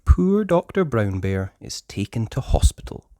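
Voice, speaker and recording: A storyteller reading a children's picture book aloud with expressive, measured scripted narration. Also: medium pitch, no room sound, studio quality.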